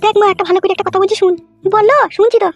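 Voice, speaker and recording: Someone voicing a very high-pitched, quick-talking cartoon village woman. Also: fairly even intonation, no echo. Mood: coaxing. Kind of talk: Bengali comedy cartoon dialogue. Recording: music behind the voice.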